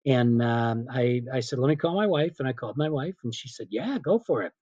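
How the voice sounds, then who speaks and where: A middle-aged man, a PA announcer being interviewed, telling casual anecdotes in an animated way and quoting remembered dialogue. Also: mid-range voice, fairly quick, no echo, highs cut off. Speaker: stadium PA announcer, interview guest.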